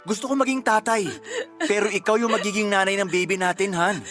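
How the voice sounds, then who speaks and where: A man's voice: sits high, fairly even intonation, a little fast, fairly bright tone, soft background music. A man playing a patient, devout husband comforting his wife in a radio drama, soothing and earnest. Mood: earnest, emotional.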